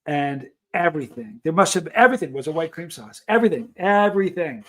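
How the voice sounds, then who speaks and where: Middle-aged man, higher-pitched voice, live streaming. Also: big pitch swings, fairly quick, a bit bright. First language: English. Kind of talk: casual chat answering live viewers, telling travel anecdotes.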